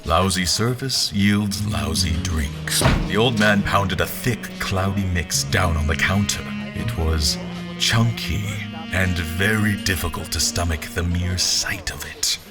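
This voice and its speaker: A brooding, wary general acted by a man narrates in a very deep voice over prominent music. The delivery is scripted fantasy storytelling, first person, literary and wry. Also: unhurried. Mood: wry, disgusted.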